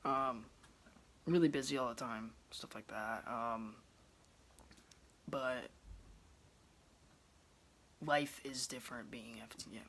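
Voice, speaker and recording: Young man rambling to his phone, gravelly. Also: medium pitch, slow pace, pauses often. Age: 20s. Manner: relaxed, rambling, many ums. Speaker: vlogger. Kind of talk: casual chat to camera. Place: small indoor room at home. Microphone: phone camera close up.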